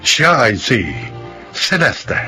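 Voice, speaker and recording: A male radio drama announcer reads scripted narration in a solemn, storytelling way. His mid-pitched voice rises and falls dramatically over clearly audible music. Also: very animated, extremely slow, sharp tone. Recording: music bed behind voice.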